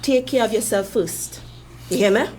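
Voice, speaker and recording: A woman's voice: a little high, normal speed, a crowd in the background, close to an everyday voice, poor audio. A woman with a Trinidadian accent playing a firm, devout matriarch who rallies her village in a radio drama, speaking emphatically. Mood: firm, commanding. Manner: emphatic, repeats calls to be heard.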